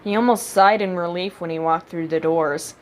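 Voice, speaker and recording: A woman's voice: on the low side, moderate pace, a little mellow. A woman reading a story aloud as a narrator, voicing the character's thoughts expressively. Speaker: amateur audiobook narrator reading fan fiction.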